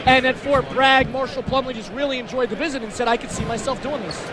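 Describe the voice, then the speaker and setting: A man's voice: very high voice, fairly even intonation, fast talker, a crowd in the background. A man giving conversational color commentary as the analyst on a live basketball broadcast.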